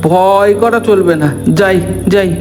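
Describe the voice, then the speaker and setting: A man's voice: sits high, unhurried, a little mellow. A man voicing a timid village husband who is nervous and placating and keeps talking himself into courage.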